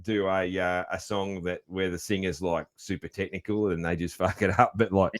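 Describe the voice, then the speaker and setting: Man, host; very low voice, relaxed, chatty, loud, quick to laugh. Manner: laid-back, laughs easily, calls people "man". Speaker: podcast host. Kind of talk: casual interview chat.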